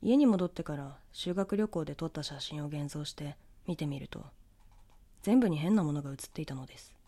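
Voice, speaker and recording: A woman reading a scripted horror story in the first person, with measured storytelling delivery. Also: low-pitched, lively intonation, warm tone. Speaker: ghost story narrator.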